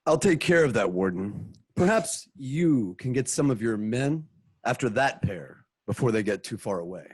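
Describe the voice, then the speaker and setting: A man voicing a calm, composed wealthy hero in a home-recorded radio play, polite in manner. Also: mid-range voice, moderate pace, breathy edge, no echo.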